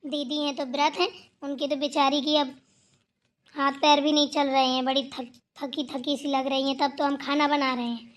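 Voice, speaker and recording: A woman's voice: sits high, level tone, moderate pace, lightly acted. A woman voicing an outspoken, hardworking younger daughter-in-law in a Hindi family cartoon, who talks back, teases and moralizes. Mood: exasperated. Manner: talking back, teasing, moralizing.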